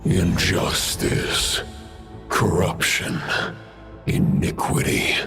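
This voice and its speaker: A man voicing an ancient, bitter, contemptuous spirit in a video game, grave and gravelly in delivery. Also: sits low, little pitch movement, fairly bright tone, soft background music, exaggerated voice. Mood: bitter contempt. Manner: grave, drawn-out, scornful. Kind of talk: dramatic video game acting.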